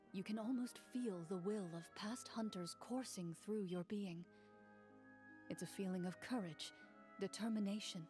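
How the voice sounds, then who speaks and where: Woman doing a mysterious game master narrator, soft dark tone, slow drama, light music. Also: mid-range voice, lightly acted. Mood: solemn. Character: calm, mysterious female storyteller and game master. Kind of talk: scripted fantasy narration in a game.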